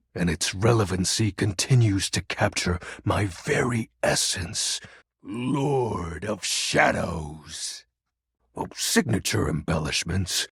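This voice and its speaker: Gravelly, pompous lord of shadows. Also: male voice, fairly low pitch, dry recording, studio quality, full character voice. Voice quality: gravelly, husky. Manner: pompous, theatrical, archaic grandiose phrasing. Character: melodramatic brooding Lord of Shadows, personified darkness, secretly dorky. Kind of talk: video game character voice acting.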